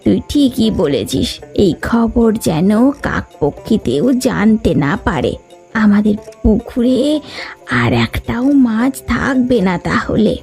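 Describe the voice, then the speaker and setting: A woman's voice: on the high side, expressive, slow pace, gravelly, darkish tone, soft background music, full character voice. A woman voicing a poor, homely and hopeful village mother in a cartoon. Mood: confiding, hopeful. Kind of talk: Bengali cartoon voice acting.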